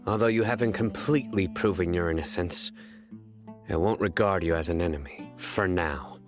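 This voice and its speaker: A stern male interrogator from a video game, acted by a man. He is low-voiced, formal and suspicious, with clear background music under his words. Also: moderate pace, lightly acted. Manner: formal, pointed, distrustful.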